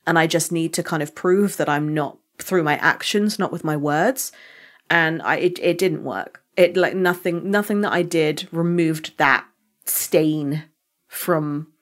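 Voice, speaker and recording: A young British woman with a lowish voice talks openly in a relaxed podcast chat. She tells personal stories with restarts and self-corrections, and her voice is going a little hoarse. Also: a little slow. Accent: British English. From United Kingdom. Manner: candid, reflective storytelling with frequent restarts. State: losing her voice. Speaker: podcast co-host. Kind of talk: casual chat.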